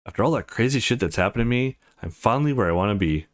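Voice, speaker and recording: A man's voice: medium pitch, expressive, fast talker, natural voice. A man voicing the self-assured male protagonist of a visual novel, reading his thoughts and lines casually and expressively. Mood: relieved, musing. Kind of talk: reading game narration and dialogue.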